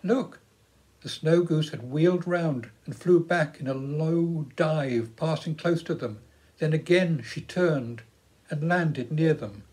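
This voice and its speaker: Older English man reading, fairly high voice. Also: takes it slowly, darkish tone. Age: 70s. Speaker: storyteller. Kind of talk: storybook reading aloud. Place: living room at home, indoors.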